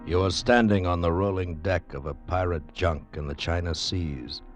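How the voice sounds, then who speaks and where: An actor playing an ominous narrator of an old-time radio adventure teaser, speaking in dramatic broken phrases. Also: male voice, low voice, steady tone, moderate pace, natural voice. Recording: vintage radio with clear music.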